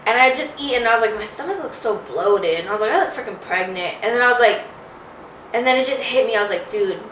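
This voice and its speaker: Young woman, mid-twenties, podcast co-host, candid rambling storyteller, fairly high voice, distant mic in a roomy space. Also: expressive, fast, fairly bright tone, a little hiss or hum. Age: 20s. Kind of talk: casual chat.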